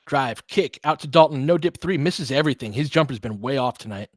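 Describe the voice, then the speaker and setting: A man's voice: mid-range voice, lively intonation, brisk, dry recording, studio quality. A man hosting a casual live basketball stream, mixing play-by-play with conversational, slangy analysis as he thinks aloud. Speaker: host and play-by-play commentator.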